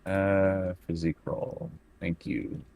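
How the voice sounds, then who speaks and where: A man playing a tabletop role-playing game, talking casually and haltingly as he works out his moves. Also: low-pitched, steady tone, phone-quality sound. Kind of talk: casual improvised game play.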